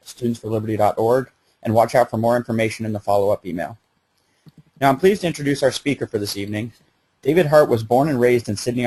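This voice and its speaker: Fast-talking man introducing a speaker. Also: mid-range voice, a little mellow, poor audio. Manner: reads the introduction, stumbles on names. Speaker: host.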